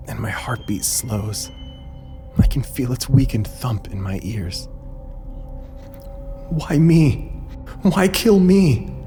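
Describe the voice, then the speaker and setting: A man's voice: medium pitch, a little mellow. An actor playing a frightened, dying prisoner who recounts his last moments in a strained, dramatic first-person narration over music. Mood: weak, dazed, then terrified, pleading.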